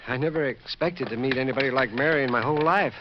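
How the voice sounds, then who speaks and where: An actor playing an anxious, lovelorn man in an old radio drama, speaking in an earnest, pleading, halting way. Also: mid-range voice, close to an everyday voice. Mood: agitated. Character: anxious, lovelorn man searching for his missing fiancée. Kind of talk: 1940s-50s radio drama acting. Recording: dull, hissy vintage radio recording.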